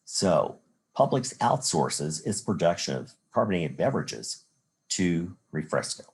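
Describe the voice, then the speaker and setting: An American man lectures as a college instructor in a low, husky voice. His pitch moves up and down in a lively way as he explains. He works through examples methodically, one step at a time, restating points as he goes. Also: unhurried. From Chicago area, United States. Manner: methodical, step-by-step explaining with rhetorical "well" and "again".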